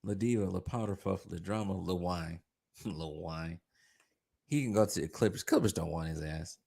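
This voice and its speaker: A man giving a mocking, scornful basketball rant, his voice going hoarse. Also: low voice, normal speed, dark tone. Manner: mocking, scornful, pleading in jest. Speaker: sports commentator. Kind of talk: ranting basketball commentary.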